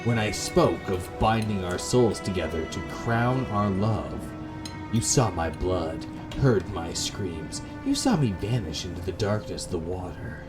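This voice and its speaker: A man plays a gothic woman scorned in a horror visual novel, speaking low and slowly. The delivery is dramatic and intense, swinging widely between tenderness and bitter accusation. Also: expressive, music in the background. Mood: bitter, pleading.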